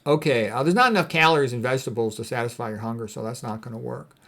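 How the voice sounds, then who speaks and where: A man's voice: medium pitch, fast, vocal fry, a bit bright. A man speaking informally as a doctor giving health advice, blunt and opinionated, leaning on repeated phrases for emphasis. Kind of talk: informal commentary on read quotes.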